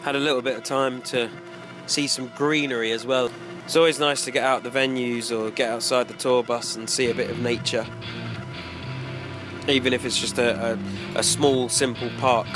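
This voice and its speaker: A man with a head cold, his voice worn hoarse, casually narrating a band's tour diary with easygoing, self-deprecating hesitations. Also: medium pitch, fairly even intonation, moderate pace, music in the background. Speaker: band member filming tour diary.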